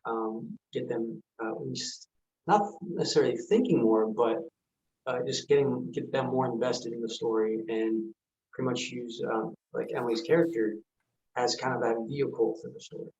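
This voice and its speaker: A man's voice: mid-range voice, darkish tone. Hesitant man on dull call audio. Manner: halting, hesitant. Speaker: actor on a panel. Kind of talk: casual Q&A answers. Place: remote video call.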